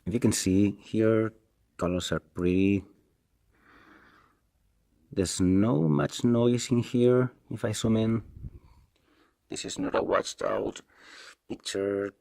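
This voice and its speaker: A man with a Spanish accent and Spanish as his first language, giving a casual, unscripted product review in a halting, hesitant way and repeating himself. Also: low-pitched, warm tone. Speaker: tech reviewer, video host.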